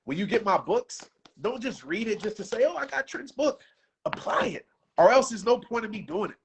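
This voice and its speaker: A man's voice: high voice, animated, moderate pace, gravelly, a little hiss or hum. A man in his thirties giving an unscripted motivational talk on a livestream, casual and passionate, with his voice wearing out. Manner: passionate, preachy, casual slang. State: losing his voice.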